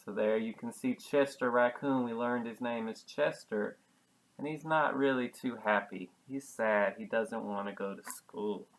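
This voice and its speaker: A man's voice: mid-range voice, a little slow, poor audio. A man reading a storybook aloud as a preschool teacher, patiently explaining it and repeating key words. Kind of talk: children's storybook read-aloud with simple explanations.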